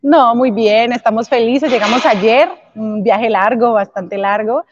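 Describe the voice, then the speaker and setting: A woman's voice: fairly high pitch, a little slow, dull audio, slight background noise. A woman from Colombia, a jazz singer, speaking upbeat and inviting as a guest on a morning news interview.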